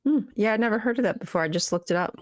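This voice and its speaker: A woman's voice: mid-range voice, rapid-fire, no room sound. A woman co-hosting a live stream, adding short dry quips to the chat.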